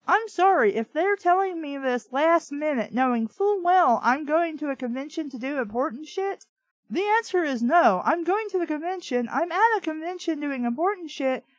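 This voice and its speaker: A woman's voice: high-pitched, lively intonation, moderate pace, no echo, low-quality recording. A woman reading a story aloud and breaking in with blunt, exasperated, sarcastic commentary full of swearing. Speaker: host of a bad-fiction reading show.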